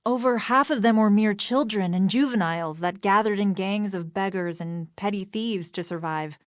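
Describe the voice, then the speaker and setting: A woman's voice: medium pitch, normal speed, dry recording, clean studio sound, close to an everyday voice. An actress playing a formal, scholarly woman historian in a video game, earnest, precise and bookish in manner. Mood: earnest, lecturing.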